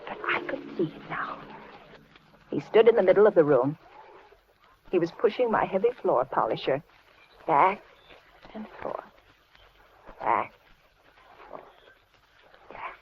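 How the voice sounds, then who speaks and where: An anxious housewife trapped in an old radio thriller. Her voice is halting and melodramatic, with big swings in pitch. The recording is muffled, with heavy noise. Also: very animated. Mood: tense narration. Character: terrified, pleading housewife held captive. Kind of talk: 1940s radio thriller acting and first-person narration.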